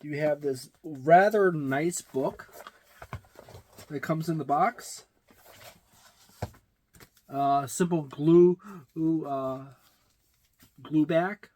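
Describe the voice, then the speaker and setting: A middle-aged man giving a casual, halting, matter-of-fact unboxing and review, recorded in a small room. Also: on the high side, a little mellow. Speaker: tabletop game reviewer. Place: small indoor room with packed shelves.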